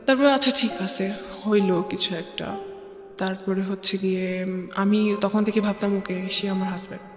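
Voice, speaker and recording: A woman with a Bangladeshi accent tells her own story as an interview guest, haltingly, repeating phrases and mixing in English words. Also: medium pitch, fairly even intonation. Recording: echoey reverb, faint music bed. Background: faint music.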